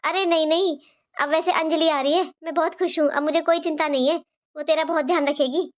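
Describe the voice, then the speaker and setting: A high-voiced middle-aged mother-in-law acted by a woman from a cartoon family drama. She is caring but fretful, fussing over everyone and repeating her points insistently. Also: fairly even intonation, fairly quick, natural voice. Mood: reassuring, instructing. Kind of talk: animated family drama dialogue.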